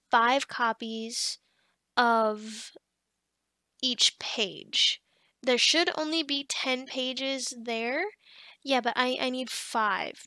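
A woman performs an upbeat female office boss for an ASMR roleplay. Her voice is high and bright, recorded very dry. She chats in a bubbly, slightly scatterbrained way, hesitating as she goes and always encouraging. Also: dry recording, exaggerated voice. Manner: bubbly, chatty, with giggles and hesitations. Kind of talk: ASMR roleplay acting, conversational.

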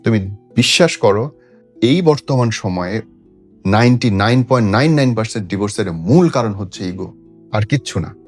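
A man's voice: on the low side, lively intonation, moderate pace, darkish tone. A man with a Bangladeshi accent, a host giving opinionated relationship advice in an emphatic, preachy way with rhetorical questions. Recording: music playing behind the voice. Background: background music.

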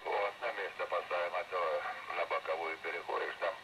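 A man's voice: sits low, fairly even intonation, a little fast, pushed and bright. An older man chatting casually as a ham radio operator, rambling and repeating himself, his voice processed with reverb over shortwave radio. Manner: rambling, repeats words and phrases. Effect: heard over shortwave radio with added reverb and compression. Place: radio transmission playing from a transceiver in a room. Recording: noisy shortwave radio reception picked up from speaker.

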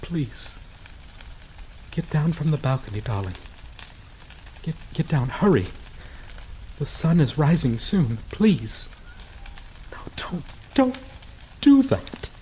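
A woman playing a grieving, possessive vampire in a dramatic ASMR roleplay, speaking haltingly and emotionally with long pauses, vengeful and pleading. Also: sits low, very animated, mellow, slight background noise, full character voice. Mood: desperate pleading. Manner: halting, slow, emotional delivery with drawn-out pauses.

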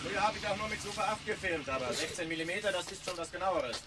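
An actress playing a chatty, bossy amateur filmmaker in a radio drama. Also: female voice, lowish voice, expressive, fast, noisy background, natural voice. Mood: chatty, pleased. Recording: busy outdoor crowd, vehicles.

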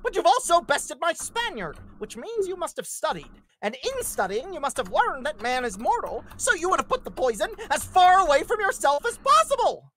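A woman's voice: high voice, big pitch swings, moderate pace, few pauses, a bit bright, pitched above the performer's own voice. A woman playing a smug, blustering male schemer in a live script read, theatrical and long-winded. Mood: triumphant.

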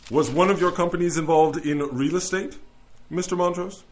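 An actor playing a shrewd, wry lawyer with a theatrical, probing way of questioning in an audio drama. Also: male voice, on the high side, normal speed, lightly acted. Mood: probing, smooth. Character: wry, shrewd male lawyer pleading in a celestial court. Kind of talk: audio drama courtroom acting.

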